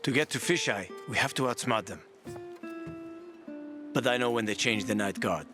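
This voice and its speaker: A man's voice: mid-range voice, moderate pace, music in the background, close to an everyday voice. A man acting a roguish, easygoing survivor and guide in a video game, breezy and persuasive with a wry edge.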